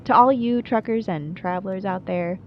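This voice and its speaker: A woman's voice: mid-range voice, moderate pace, lo-fi sound, a little hiss or hum, lightly acted. A woman playing a wry, playful small-town late-night radio host in a scripted monologue, chatty and dryly self-deprecating. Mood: wry, breezy. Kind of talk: scripted radio show monologue, audio roleplay.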